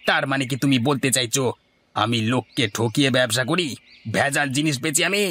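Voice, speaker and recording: A man's voice: mid-range voice, fairly quick, pushed and bright, a little hiss or hum, lightly acted. A man playing a greedy, cheating milkman who is brusque and self-justifying. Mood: needling. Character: greedy, cheating village milkman, bossy husband. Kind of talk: scripted cartoon dialogue.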